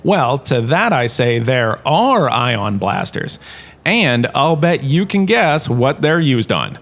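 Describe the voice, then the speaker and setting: A man's voice: medium pitch, sing-song, takes it slowly, fairly bright tone, heavily acted. A man playing a washed-up showbiz star turned university lecturer, giving a chatty, jokey scripted lecture in a comedy audio drama. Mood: playful, chatty. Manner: chatty, jokey, showman-like salesman patter.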